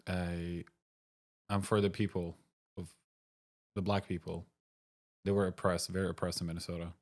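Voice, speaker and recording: Deep-voiced blunt Syrian-born man. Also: little pitch movement, moderate pace, darkish tone. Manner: blunt, short answers. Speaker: cafe owner, guest. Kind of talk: casual interview talk.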